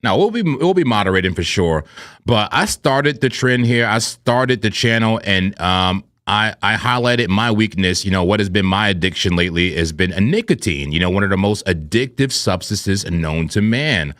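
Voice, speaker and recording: A man with a smooth, low radio-style voice hosts a casual trading stream. His delivery swings widely in pitch and stays playful, with a frequent throat-clear. The sound is close and dry. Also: moderate pace, no echo. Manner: animated, playful, laughs often. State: throat irritated from vaping. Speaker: co-host of a crypto trading stream. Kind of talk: casual live-stream banter.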